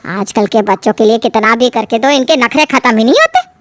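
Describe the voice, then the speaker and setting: A woman's voice: fairly high pitch, a little fast, dry recording, close to an everyday voice. A woman voicing an indignant, short-tempered middle-aged mother who scolds with sarcasm. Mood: angry, threatening.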